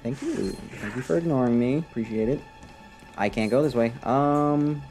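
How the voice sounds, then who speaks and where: A young man streaming a video game from a close desk mic, giving casual, sarcastic, indifferent commentary in a deadpan way. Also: mid-range voice, moderate pace. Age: young adult. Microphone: desk microphone close to the face. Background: game music.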